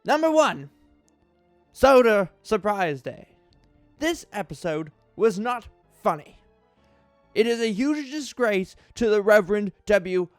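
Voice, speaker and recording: A man giving a mock-dramatic reading as an aggrieved, earnest cartoon fan reviewing episodes, halting and heavily emphasising words. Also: high-pitched, lively intonation, no room sound, faint music behind. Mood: grave disapproval. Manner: melodramatic, halting, heavy emphasis.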